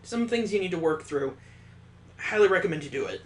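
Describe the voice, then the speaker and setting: A man with a fairly high voice reading a visual novel aloud and breaking off for sarcastic, swearing asides. His voice rings in a roomy, echoey room. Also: sing-song, a little fast, a little hiss or hum. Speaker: let's play host. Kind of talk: let's play commentary and visual novel narration.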